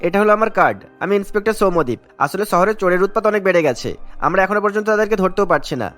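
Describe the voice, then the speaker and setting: Guy doing a keen young cartoon cop, super quick, highish voice, music underneath. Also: lively intonation, a bit bright. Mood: polite, probing. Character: earnest, determined young male police inspector. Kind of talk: cartoon voice acting.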